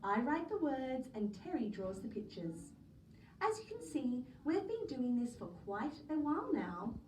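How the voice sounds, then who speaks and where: A woman reading a children's story aloud as a teacher, in an animated narrating style. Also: high-pitched, a little slow. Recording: roomy, distant mic, faint noise.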